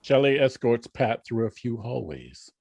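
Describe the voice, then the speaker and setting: A man's voice: medium pitch, big pitch swings, unhurried, creaky, a little mellow, slightly rough. A man narrating and announcing a scripted radio drama.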